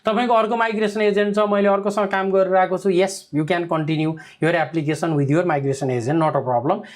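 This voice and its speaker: Guy talking super fast, advisor answering comments, lots of uh and you know. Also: high voice, fairly even intonation. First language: Nepali. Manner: code-switching, heavy fillers, frequent checks like "okay?". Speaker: migration advisor. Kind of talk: live Q&A answering viewer comments.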